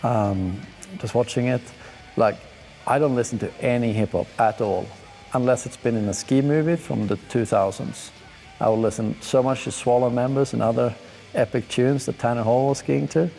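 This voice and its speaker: A man's voice: mid-range voice, normal speed, vocal fry, warm tone, soft background music. A young man from Sweden, speaking, gives laid-back, slangy, enthusiastic commentary while reacting to ski clips. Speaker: pro skier.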